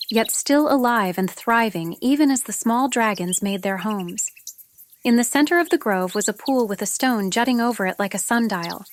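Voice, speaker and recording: A woman narrating a fantasy story from a script, as an audiobook reader. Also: on the high side. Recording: noticeable background noise with clicks, insect-like sounds.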